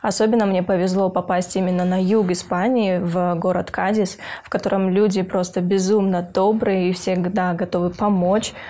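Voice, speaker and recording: A young woman, a university student from Kyrgyzstan, speaking earnestly in an interview, pausing with hesitant filler sounds and restarting her phrases. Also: fairly low pitch, little pitch movement, dark tone. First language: Russian. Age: young adult. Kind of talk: interview for a university news feature.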